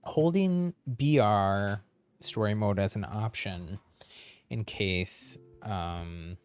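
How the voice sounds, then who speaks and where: A man's voice: on the low side, extremely slow, darkish tone, clear, resonant tone, faint music behind. A man hosting a live video game stream, chatting casually as he plays. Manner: chuckles often while talking. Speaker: livestream host playing a video game.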